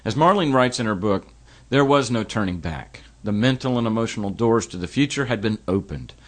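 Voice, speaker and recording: A middle-aged man hosting a podcast, talking in an earnest, reflective way that turns halting when he speaks of personal matters. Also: lowish voice, expressive. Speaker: podcast host. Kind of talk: podcast intro and interview.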